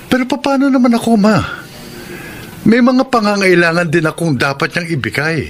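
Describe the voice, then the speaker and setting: Actor as high-pitched resentful young Filipino husband. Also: male voice, moderate pace, natural voice. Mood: complaining. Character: needy, resentful young husband, pleading and demanding. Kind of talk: Filipino radio drama acting.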